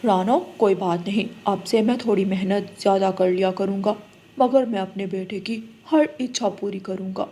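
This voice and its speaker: A woman's voice: mid-range voice, fairly even intonation, moderate pace, dark tone, breathy edge, lightly acted. A woman playing a devoted, patient father bird who indulges his child, in a children's cartoon. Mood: indulgent. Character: devoted adult father bird, gentle, self-sacrificing.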